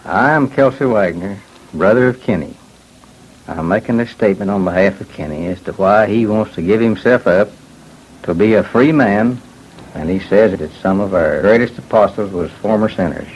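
A man's voice: on the low side, moderate pace, muffled recording, slight background noise. A man giving a plain prepared statement on an old radio recording. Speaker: interviewee making a statement.

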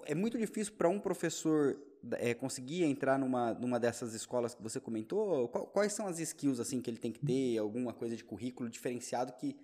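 A man hosting a casual interview talks at a quick clip in a middle pitch. He asks curious, short questions, and his tone stays easygoing. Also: expressive, dark tone. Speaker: interview host.

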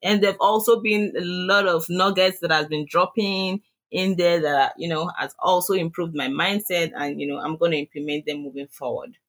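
A woman's voice: on the low side, steady tone, normal speed, a bit bright. A woman with a Nigerian accent, answering interview questions hesitantly with many fillers. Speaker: guest, online seller.

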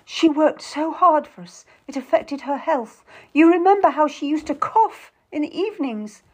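A woman's voice: fairly high pitch, very animated, unhurried, voice pushed up. A woman voicing a melodramatic, tearful young noblewoman who implores and schemes in an audiobook story. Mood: pleading.

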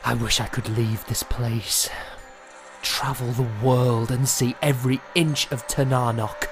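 A woman's voice: very deep voice, steady tone, unhurried, music in the background. A woman playing a mischievous, dreamy young prince with a cheeky, defiant streak in a fantasy audio drama. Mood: wistful.

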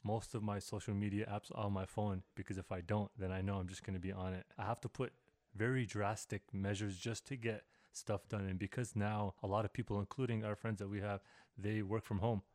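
A man's voice: fairly low pitch, little pitch movement, fairly quick, hardly any pauses, a little mellow, no room sound, studio quality. A young man hosting a casual podcast interview, asking long reflective questions. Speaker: podcast host.

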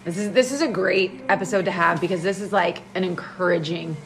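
Brief: female podcast co-host, casual, quick supportive interjections, lower-pitched voice. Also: gravelly, crowd noise. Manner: short, agreeing interjections.

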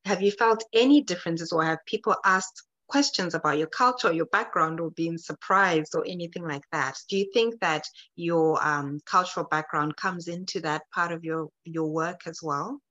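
A woman's voice: fairly low pitch, normal speed, hardly any pauses, a bit bright. A woman interviewing in a casual, encouraging, informal way. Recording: slightly dull, lo-fi audio.

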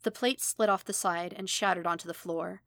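A woman's voice: lowish voice, fairly even intonation, moderate pace, fairly bright tone, dry recording. A woman reading a story aloud as scripted narration, recorded close to the microphone. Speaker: fan fiction audiobook narrator.

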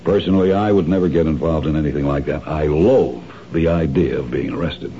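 Casting: a smooth, condescending crook with a low, very dark voice, 1970s radio play, dull broadcast sound. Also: male voice. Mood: patronizing. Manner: suave, patronizing, measured. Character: smooth, polite, scheming male criminal mastermind. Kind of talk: 1970s radio drama acting. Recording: dull lo-fi old radio broadcast, faint hiss.

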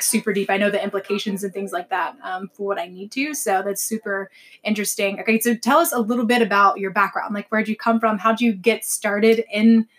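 A woman hosting a podcast, chatting casually and often saying "like" and "super". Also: medium pitch. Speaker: podcast host. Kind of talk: casual interview chat.

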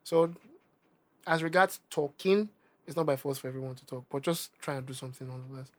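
A young Nigerian man with a Nigerian accent, a rapper talking casually in an interview, animated and emphatic, often repeating himself. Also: mid-range voice, fairly quick. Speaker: rapper guest.

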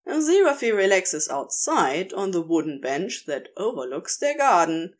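A woman reading a story aloud as narrator, in an expressive scripted style. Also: lowish voice, normal speed. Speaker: narrator, amateur audio fiction reader.

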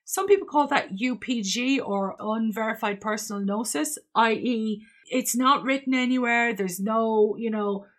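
A woman's voice: fairly high pitch, a little slow, few pauses. Irish woman musing on her podcast. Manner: reflective, earnest, thinking aloud with hesitations. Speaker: podcast host. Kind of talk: solo podcast monologue.

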